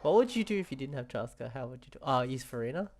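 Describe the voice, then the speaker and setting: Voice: medium pitch, sing-song, fast talker. Casual gamer talking over game music. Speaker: player streaming a video game playthrough. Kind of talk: casual gameplay commentary, talking to self.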